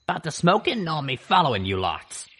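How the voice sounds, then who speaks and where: A man voicing a gruff, sardonic female griffin mercenary who chain-smokes, with a drawl. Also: a little high, big pitch swings, brisk, bright, forceful tone, pitched above the performer's own voice, heavily acted. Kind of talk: audiobook character voice.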